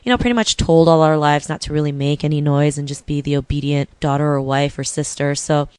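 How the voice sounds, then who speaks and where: A woman's voice: low voice, fairly quick, darkish tone, smooth, no room sound. A woman hosting a podcast interview, chatting casually and often saying "you know" between thoughts. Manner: friendly, leans on "you know" fillers. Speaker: podcast host.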